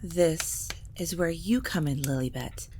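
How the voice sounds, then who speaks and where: An ancient vampire matriarch, played by a woman in an audio drama, with a fairly low voice. She speaks formally and gravely, measuring each phrase like a scholarly schemer. There is clear noise behind her. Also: unhurried. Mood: calculating. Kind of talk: scripted audio drama acting.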